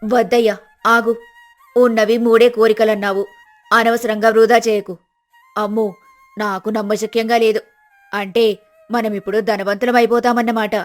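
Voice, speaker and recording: A woman voicing a scheming, sharp-tongued poor village wife in a storybook drama, talking in a bossy, scolding way. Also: fairly high pitch, little pitch movement, normal speed, long pauses, soft background music, close to an everyday voice. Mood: amazed.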